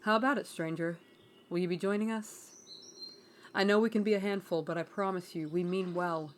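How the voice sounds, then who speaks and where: A woman acting an earnest, diplomatic young princess in a fantasy audio roleplay, measured and polite, gently exasperated at times. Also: lowish voice, steady tone, a little hiss or hum, pitched below the performer's own voice, close to an everyday voice. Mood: reassuring.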